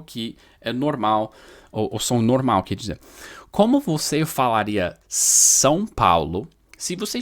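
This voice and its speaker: A man's voice: medium pitch, fairly bright tone. A man from New York, a native English speaker with an American New York accent, teaching pronunciation informally to viewers, explaining with repeated, stressed words. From New York, United States.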